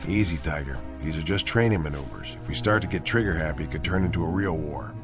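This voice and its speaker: An actor playing a calm, commanding tank sergeant. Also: male voice, extremely low pitch, fast talker, music in the background, voice pushed down. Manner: steady, commanding.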